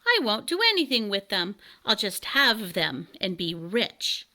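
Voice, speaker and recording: A woman voicing a pompous, excitable male canary king from a storybook, commanding and self-important. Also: mid-range voice, a little slow. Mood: gleeful, greedy.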